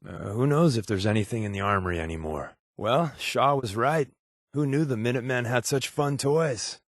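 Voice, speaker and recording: A man's voice: mid-range voice, normal speed, no echo, clean studio sound, natural voice. A man playing a secretive, sardonic spy for a resistance underground in a video game, with an American accent and a laid-back, joking delivery. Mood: wry, musing.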